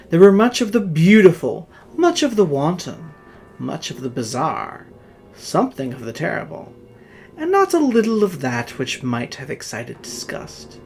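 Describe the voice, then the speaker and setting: Guy reading a spooky old story all dramatic and solemn, pitch goes up and down a lot, bit of music behind him. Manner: theatrical, solemn, with swelling and hushed phrases. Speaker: story reader. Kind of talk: dramatic horror story narration. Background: music under the voice.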